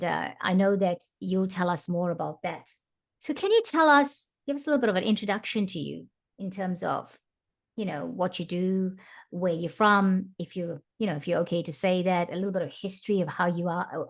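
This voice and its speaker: A woman hosts an online interview show and welcomes her audience and guest. She speaks in a bright, friendly way, often pausing on filler ums. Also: lowish voice, creaky. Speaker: host.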